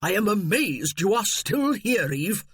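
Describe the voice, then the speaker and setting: A man's voice: sits high, big pitch swings, a little slow, a bit bright, husky, no room sound, clean studio sound, pitched above the performer's own voice, heavily acted. A man voicing a pompous, blustering male cousin who turns fawning.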